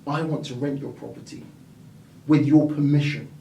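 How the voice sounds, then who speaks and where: A man guesting on an interview show, telling stories with lively quoted voices, from the United Kingdom. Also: medium pitch, normal speed, gravelly, a little hiss or hum. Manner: animated storyteller, acts out quoted voices. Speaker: property investor and mentor. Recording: echoey room, mic at a distance.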